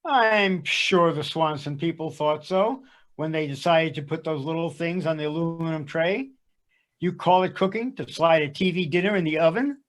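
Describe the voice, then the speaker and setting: Comedy sketch, actor as reluctant, sarcastic husband in therapy, short dry grumbles. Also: male voice, fairly high pitch, moderate pace, a bit bright, lightly acted. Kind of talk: readers theater comedy sketch.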